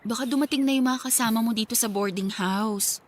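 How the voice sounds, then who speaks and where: A woman acts out a young Filipina student in a radio drama, high in pitch. She sounds hesitant and affectionate, a devoted but anxious girlfriend. A faint noise sits behind the voice. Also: a little fast, natural voice. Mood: uneasy, protesting. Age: young adult. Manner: pleading, affectionate. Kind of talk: Tagalog radio drama acting.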